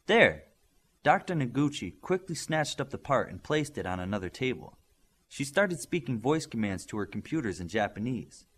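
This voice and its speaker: Man, audiobook narration, scripted story, varied intonation, husky tone. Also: mid-range voice, very animated, moderate pace. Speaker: audiobook reader.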